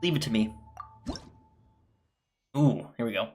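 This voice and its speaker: A man's voice: mid-range voice, expressive, measured pace, a little mellow. A man giving casual, rambling let's play commentary as a gameplay streamer, with game music behind him.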